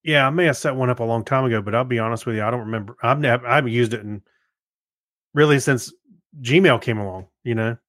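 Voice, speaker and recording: A man co-hosting a podcast in casual chat, talking in a hesitant, low-key, meandering way. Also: fast, a bit bright, no echo, clean studio sound. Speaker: podcast co-host.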